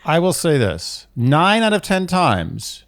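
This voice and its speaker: A man's voice: on the high side, very animated, slow pace, fairly bright tone. A man co-hosting an advice podcast, talking casually and emphatically, repeating phrases to drive a point home. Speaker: podcast co-host giving advice. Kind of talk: casual, opinionated advice chat. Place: living room, indoors.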